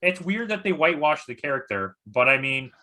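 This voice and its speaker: A man's voice: on the high side, big pitch swings, a little fast, sharp tone. A man in a casual movie discussion, speaking as a guest critic with emphatic, hyperbolic complaints.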